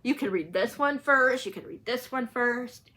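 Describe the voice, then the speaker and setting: A woman's voice: on the high side, normal speed, bright, forceful tone. A woman talking casually to viewers in a rambling, informal book vlog, often getting sidetracked. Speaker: book channel vlogger.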